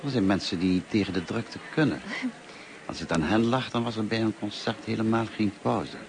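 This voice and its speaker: An actor in a Dutch radio play as a kindly, talkative, lonely middle-aged teacher, courteous and musing in manner. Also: male voice, lowish voice, fairly quick, creaky. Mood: chatty, earnest. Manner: courteous, musing, hesitant and self-effacing. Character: kindly, talkative, lonely grey-haired male French teacher. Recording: noisy old radio play recording.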